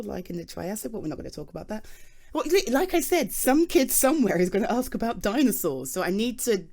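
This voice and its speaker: A woman's voice: mid-range voice, darkish tone, natural voice. A British woman playing a flustered, chatty geology nerd in an ASMR roleplay, explaining things in a rambling, hesitant, eager and informal way.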